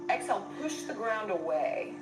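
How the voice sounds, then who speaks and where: A woman's voice: mid-range voice, sing-song, normal speed. A woman leading a guided yoga class, giving step-by-step cues for breath and movement in short phrases. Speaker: yoga instructor. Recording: roomy distant mic under loud music.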